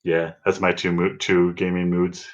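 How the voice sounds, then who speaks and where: Deep male voice, slightly dull recording. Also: monotone, a little slow. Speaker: podcast co-host. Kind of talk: casual chat about video games. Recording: dull, lo-fi microphone sound.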